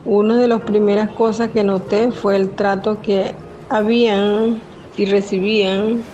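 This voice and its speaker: An older woman, a retired nurse from the Venezuelan Amazon, calmly narrating her own life story in the first person. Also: mid-range voice, measured pace, a little hiss or hum. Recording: dull lo-fi voice-over with music. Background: music.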